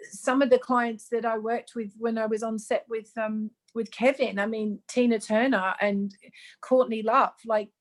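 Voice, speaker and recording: A woman's voice: fairly high pitch, little pitch movement, measured pace. A woman in her fifties, born in Sweden and raised in Australia. She talks as a guest in a casual interview, chatty and earnest, with lots of ums. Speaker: guest, makeup artist.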